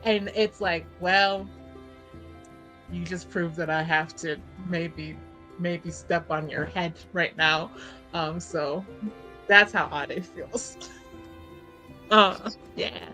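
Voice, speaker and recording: Bright-voiced woman, slow halting narration. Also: on the low side, faint music behind. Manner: halting, emphatic, conversational. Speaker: tabletop roleplay player narrating her character. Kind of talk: casual improvised roleplay narration.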